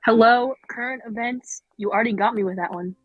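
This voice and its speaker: A woman's voice: a little high, fairly quick, husky, dry recording, dull audio. A woman voicing a sarcastic, easily annoyed young girl with a dry, scornful manner in an amateur cartoon read.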